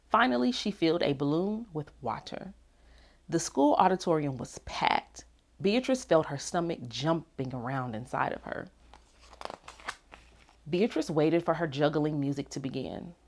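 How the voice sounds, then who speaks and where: A woman reading a children's storybook aloud in an expressive, animated way with dramatic pauses. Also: low voice. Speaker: read-aloud narrator.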